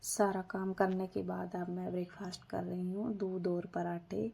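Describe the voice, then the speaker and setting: A woman with a sore throat narrating her daily routine in a low, breathy half-whisper, unhurried and homely. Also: flat delivery, noisy background. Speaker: vlogger.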